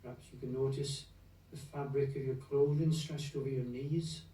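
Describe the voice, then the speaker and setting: A man leading a guided meditation in a gentle, unhurried, soothing manner, leaving long pauses between phrases. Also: mid-range voice, steady tone. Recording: roomy echo, distant from the mic.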